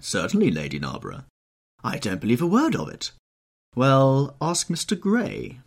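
A man voicing an urbane, cynical and witty gentleman in an audiobook, with polished, epigrammatic delivery. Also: medium pitch, wildly swooping pitch, moderate pace, gravelly, pitched above the performer's own voice, exaggerated voice. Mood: dryly amused. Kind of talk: audiobook character voice.

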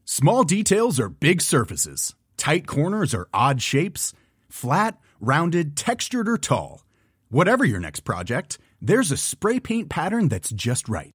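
Man, raised pitch, husky, scripted commercial voice-over, dry studio sound. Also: wildly swooping pitch, takes it slowly, a bit bright, dry recording. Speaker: advertisement voice-over. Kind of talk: scripted ad read.

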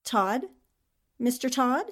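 A woman playing a brisk, breezy female impostor posing as a newspaperwoman, in 1940s radio drama style. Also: a little high, lively intonation, a bit bright, heavily acted. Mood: hurried, evasive.

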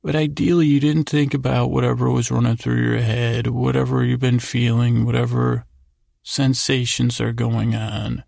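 A man hosting a bedtime podcast in a rambling, halting monologue, drifting off into digressions with a heavily creaky, gravelly voice. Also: mid-range voice, level tone, a little mellow, no room sound, clean studio sound. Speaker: podcast host.

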